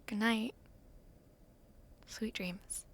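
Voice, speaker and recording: A young woman acting an affectionate, teasing university student in an intimate roleplay, talking softly and playfully to the listener late at night. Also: on the high side, fairly even intonation, very slow, a little hiss or hum. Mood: fond, sleepy. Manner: soft, playful, teasing, with breathy murmurs.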